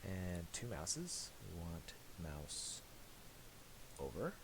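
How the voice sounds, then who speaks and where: A man narrating a casual screen-recorded software tutorial, halting and thinking aloud as he goes. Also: sits low, big pitch swings. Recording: hissy home recording with clicks.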